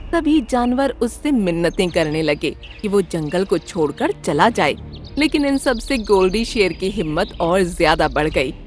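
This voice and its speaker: A woman narrating a cartoon story for children in an expressive way. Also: on the low side, measured pace, faint music behind. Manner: lively, expressive.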